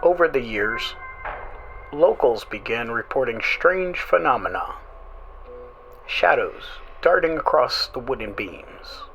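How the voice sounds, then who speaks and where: A man hosting a horror radio show, reading creepy stories in a slow, eerie, dramatic way, with music playing under his voice. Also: medium pitch, a bit bright, low-quality recording. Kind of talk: scripted horror narration.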